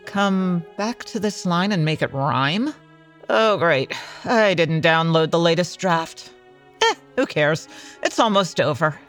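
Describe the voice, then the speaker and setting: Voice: mid-range voice, expressive, slow pace, fairly bright tone, soft background music. An actor playing a snide, careless storybook narrator, giving mock fairy-tale narration in a grandiose way. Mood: irritated.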